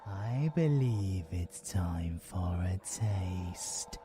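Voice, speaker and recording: A British man playing a predatory, mocking vampiric abomination in a villain audio roleplay, savoring his phrases theatrically and slipping into breathy whispers. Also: sits low, expressive, drawn-out words, music in the background, exaggerated voice. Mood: hungry, whispering.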